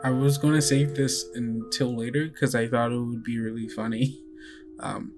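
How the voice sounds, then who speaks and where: Woman game master, notably low pitch, loose improvised tabletop narration, music in the background. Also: steady tone, a little mellow. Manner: halting, conversational.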